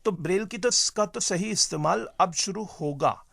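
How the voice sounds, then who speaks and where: A man who works as a teacher and trainer, giving measured, explanatory interview answers with hesitant pauses. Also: high-pitched, creaky, sharp tone, dry recording, clean studio sound.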